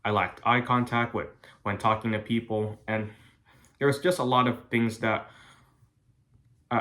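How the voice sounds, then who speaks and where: A young man from the United States giving a prepared class speech in an earnest, careful, halting way. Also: fairly low pitch, a bit bright. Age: young adult. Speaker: college student.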